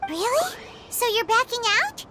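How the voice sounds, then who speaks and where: A woman voicing a small, fussy, excitable fairy girl with a squeaky childlike voice in a video game. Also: normal speed, soft background music, heavily acted. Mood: chatty. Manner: exclamatory, refers to self by name.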